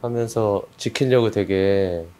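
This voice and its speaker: A man's voice: lowish voice, takes it slowly, noisy background. A man speaking casually as a team member in a group discussion, hesitant and agreeable. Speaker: project team member being interviewed.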